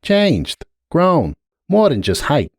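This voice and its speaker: A man's voice: fairly high pitch, wildly swooping pitch, slow pace, husky, no room sound, clean studio sound, pitched above the performer's own voice, full character voice. A man voicing a guarded, brooding middle-aged pony mare, a blunt aunt with a terse, world-weary way of talking, in a dramatic story reading. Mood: quietly proud. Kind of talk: dramatic audiobook character reading.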